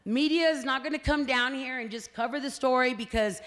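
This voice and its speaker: A woman's voice: high voice, a little fast, fairly bright tone. Woman speaking in an echoey hall. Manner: conversational, frequent fillers and "right?" tags. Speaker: party representative speaking at a community meeting. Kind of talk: public speech to a crowd. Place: community meeting hall. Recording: hall reverb from a meeting room.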